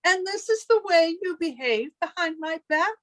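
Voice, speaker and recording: A woman's voice: very high voice, lively intonation, moderate pace, fairly bright tone, no echo. A woman playing a possessive, sanctimonious older sister in old-time radio melodrama, theatrical and reproachful. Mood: stern, prying.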